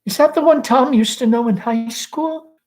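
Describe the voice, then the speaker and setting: A man's voice: very high voice, normal speed, darkish tone, voice pushed up. A man voicing a painfully shy, anxious young daughter in a stage play, breathless and pleading.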